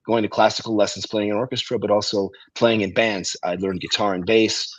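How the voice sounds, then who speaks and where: A middle-aged man, born in Russia and raised in Cleveland, speaking as a guest musician in a relaxed, storytelling way with frequent ums. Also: lowish voice, brisk, dry recording, rough recording. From Russia, raised in Cleveland, Ohio. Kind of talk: casual interview talk. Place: restaurant.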